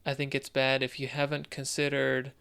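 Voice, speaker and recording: A man with a mid-pitched, smooth voice that often drops into fry. He chats as a podcast co-host, haltingly working through his thoughts and posing questions. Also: completely flat delivery, bright, forceful tone. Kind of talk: casual discussion of video games.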